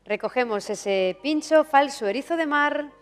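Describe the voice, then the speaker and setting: A woman's voice: sits high, lively intonation, measured pace, long reverb tail. A woman hosting a live cooking contest, upbeat and chatty, slipping in phrases. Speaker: contest presenter. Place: auditorium stage.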